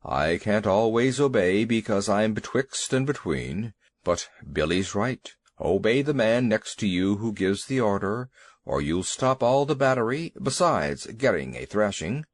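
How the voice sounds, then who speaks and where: A man putting on a great fretful bull elephant: slow and deep, grumbling and pompous, a storybook beast who is easily rattled. The voice rolls up and down. It sounds dry and close. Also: expressive, no room sound. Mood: grave. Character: huge timid male elephant, pompous and fussy.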